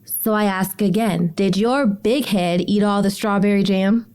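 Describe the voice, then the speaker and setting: A woman playing a bookish, sarcastic teenage schoolgirl in an audio drama, with slangy, expressive delivery. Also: medium pitch, a little slow, clean tone, natural voice. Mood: annoyed.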